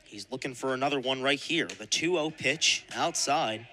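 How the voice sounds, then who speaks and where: A young man calling baseball play-by-play for radio in crisp announcer phrasing with punched-up calls. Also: mid-range voice, normal speed, pushed and bright, a little hiss or hum. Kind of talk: live radio sports commentary.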